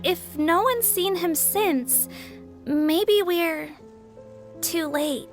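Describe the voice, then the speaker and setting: An actress playing a thoughtful woman in a video game, speaking slowly and deliberately. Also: very high voice, soft background music, exaggerated voice.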